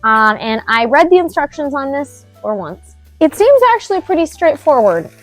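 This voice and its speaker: A woman's voice: high-pitched, big pitch swings, normal speed, faint music behind, poor audio. A young woman hosting a home DIY vlog, talking casually and rambling with lots of fillers, with a dry, wheezy cough. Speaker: DIY vlogger and host. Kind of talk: casual chat and talk-through of a DIY task. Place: home indoors.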